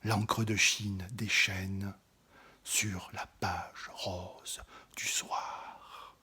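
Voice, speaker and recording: Man, poetry recitation, very slow, very breathy, whispers often, swells on some phrases. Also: sits low, flat delivery, sharp tone. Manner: drops often into an intimate whisper.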